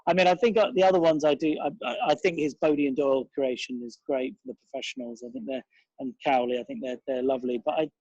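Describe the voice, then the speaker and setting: Guy, bit husky, dry close sound, chatting away in an interview, keen and goes off on tangents. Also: on the high side, expressive, quick pace, a little mellow, dry recording, muffled recording. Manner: rambling, enthusiastic, digressive. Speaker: interview guest. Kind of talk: casual interview reminiscence.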